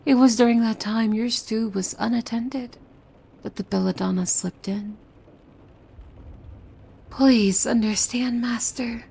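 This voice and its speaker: A woman playing a possessive demon maid in an ASMR roleplay, devoted and softly menacing, with hushed formal speech that often slips into breathy whispers. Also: fairly high pitch, steady tone, takes it slowly, pauses often, exaggerated voice. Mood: calmly deflecting.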